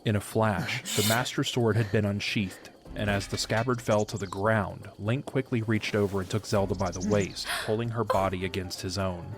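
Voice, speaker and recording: Low-voiced man narrating a story. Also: steady tone, moderate pace, hardly any pauses. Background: music.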